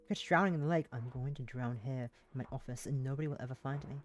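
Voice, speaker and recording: A woman's voice: extremely low pitch, fast talker, a little hiss or hum. A woman live streaming, chatting casually and reading visual novel text aloud, now and then tripping over words and rereading them. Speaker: live streamer playing a game.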